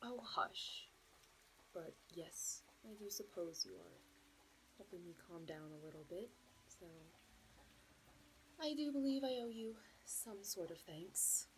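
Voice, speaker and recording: A woman's voice: fairly low pitch, very animated, slow pace, long pauses, slight background noise, full character voice, low-quality recording. A woman playing an anxious, lovelorn young princess bride in a romantic audio roleplay, speaking formally and hesitantly. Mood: teasing, soft. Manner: formal regal phrasing, hesitant, breathy pleading.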